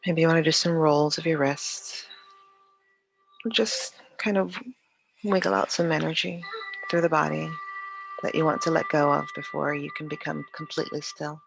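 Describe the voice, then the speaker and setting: A yoga teacher leading a guided meditation in a calm, soothing, unhurried way with long pauses. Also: mid-range voice, vocal fry, soft background music, low-quality recording.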